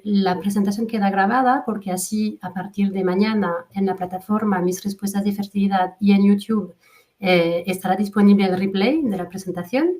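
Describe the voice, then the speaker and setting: French woman speaking Spanish on a video call, webinar host, hesitant with fillers, mid-pitched voice. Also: darkish tone. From France. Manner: hesitant, many fillers and restarts. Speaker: fertility coach and webinar host. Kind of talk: hosted webinar introduction.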